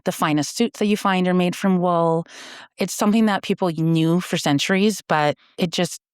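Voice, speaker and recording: A woman's voice: fairly low pitch, moderate pace, clean tone, dry recording, studio quality. A woman from Canada, a company founder, explaining her work earnestly in a conversational interview, with frequent fillers and restarts.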